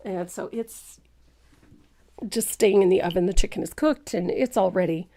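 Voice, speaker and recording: A woman's voice: medium pitch, normal speed, warm tone. A woman with a congested, stuffed-up voice from a cold, leading a painting tutorial in a chatty, rambling way with frequent self-deprecating laughs. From Canada. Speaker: painting instructor.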